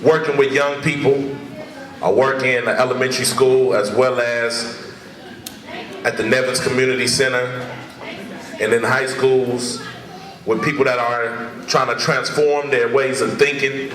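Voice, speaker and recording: A man's voice: mid-range voice, little pitch movement, a little slow, pauses often, fairly bright tone. A man from Richmond, California, a community youth worker, speaks casually and conversationally to an audience, with plenty of fillers and asides. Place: large indoor hall with an audience. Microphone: far camera. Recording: echoey hall from far off with crowd.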